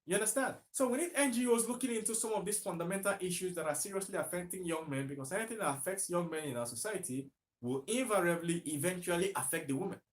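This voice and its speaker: A man's voice: high-pitched, fast talker. A Nigerian man talking on a live stream to viewers, emphatic, repeating himself and asking rhetorical questions. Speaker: livestreaming commentator.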